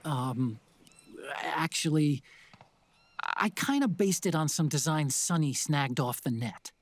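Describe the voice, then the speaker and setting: An earnest American tech adviser in a video game cutscene. His pitch is a bit high and the sound is dry and close. He explains carefully, with a mild temper. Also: lively intonation, slow pace, no room sound, full character voice. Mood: sheepish. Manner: earnest, explanatory, slightly apologetic.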